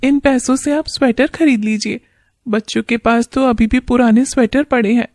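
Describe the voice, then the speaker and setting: A woman's voice: a little high, steady tone, moderate pace, darkish tone, no echo, clean studio sound, lightly acted. A woman voicing a poor, caring village wife and mother in a cartoon moral story, anxious and tender. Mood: caring, concerned. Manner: concerned, tender, plaintive. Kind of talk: animated moral story acting.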